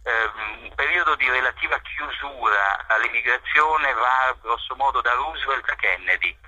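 A man, a journalist, answering interview questions in a measured way, pausing often with fillers. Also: mid-range voice, bright, forceful tone, no echo, poor audio. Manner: measured, hesitant with frequent fillers.

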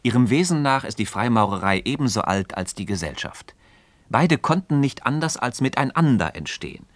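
An actor playing a composed, wise Freemason mentor in a philosophical radio play, reasoning in a measured, didactic, gently ironic manner. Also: male voice, mid-range voice, animated, no room sound, natural voice. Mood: reflective, didactic. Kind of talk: mid-century German radio play acting of philosophical dialogue.

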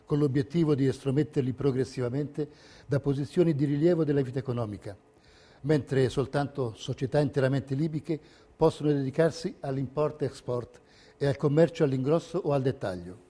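A man narrating a documentary theatre piece, reading a script in measured, carefully separated phrases. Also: mid-range voice, mellow.

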